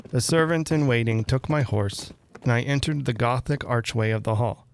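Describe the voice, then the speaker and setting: A man reading a Gothic tale aloud as a literary narrator, in deliberate phrases with frequent pauses. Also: medium pitch, a little hiss or hum. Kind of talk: measured literary audiobook narration.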